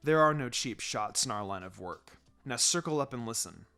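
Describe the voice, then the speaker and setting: A stern adult ninja teacher, acted out by a male narrator, in a story reading. He speaks low and measured, laying down rules with pleasant menace. Music plays behind him. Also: animated, gravelly, sharp tone, clean tone. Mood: calm, instructing. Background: background music.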